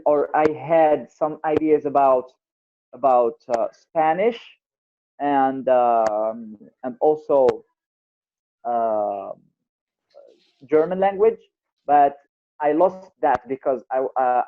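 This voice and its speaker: A man from Algeria with an Algerian accent, a native Arabic speaker, talking slowly and deliberately in a casual online group chat as a linguist. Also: medium pitch. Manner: slow and deliberate, many fillers and repeats. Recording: tinny phone-like online call audio.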